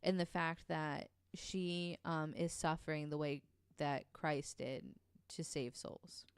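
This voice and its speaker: A woman tells a story to her podcast co-hosts in a relaxed, chatty way. She fills her pauses with ums and swears offhandedly. Her voice is slightly low and smooth, and it sounds dry and close to the mic. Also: dry recording. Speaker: podcast co-host telling the story. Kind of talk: casual podcast chat.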